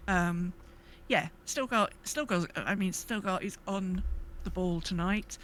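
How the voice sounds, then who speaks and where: A woman's voice: on the low side, measured pace, fairly bright tone, slight background noise. A woman co-hosting a podcast in casual gaming chat, thinking aloud in a hesitant, rambling way. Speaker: podcast co-host.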